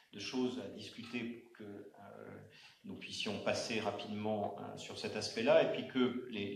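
A man moderating a round-table discussion in an auditorium, speaking haltingly with many fillers and restarts. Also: on the low side. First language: not Arabic. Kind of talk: hesitant spoken introduction at a panel. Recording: echoey auditorium sound.

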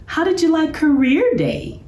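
Woman acting a friendly male storybook bear professor, swooping high pitch, eager questions, roomy echo. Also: wildly swooping pitch, normal speed, a little mellow. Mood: friendly, curious. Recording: roomy, echoing indoor sound.

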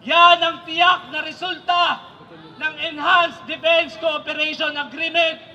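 Filipino man on protest pa, sharp and forceful, slow, a bit muffled. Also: very high-pitched, level tone. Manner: declamatory, measured. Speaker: protest speaker. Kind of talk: rally speech. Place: outdoor protest rally stage. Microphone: stand microphone through PA. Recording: muffled rally PA with faint noise.

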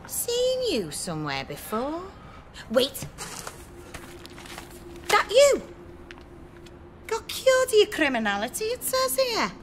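A woman's voice: extremely high pitch, wildly swooping pitch, slow, pauses often, heavily acted. A woman playing a suspicious, combative British neighbour in a radio drama. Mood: suspicious, threatening. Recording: radio drama with noisy background.

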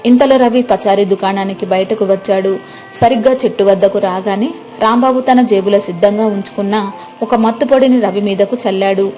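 A woman's voice: medium pitch, steady tone, a little fast, dark tone, faint music behind. A woman narrating a scripted moral story.

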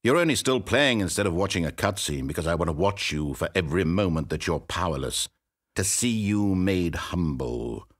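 An actor playing a smug, sadistic, all-knowing storyteller in a video game, speaking with theatrical, mock-courteous condescension. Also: male voice, low voice, animated, normal speed. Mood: mocking scorn. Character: smug, sadistic, omniscient male storyteller, politely mocking. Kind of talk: theatrical scripted game narration.

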